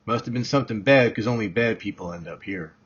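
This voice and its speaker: A man voicing a confused, folksy old man in an audiobook, husky and bluntly questioning. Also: on the low side, fast talker. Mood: prying. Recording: dull, muffled lo-fi recording.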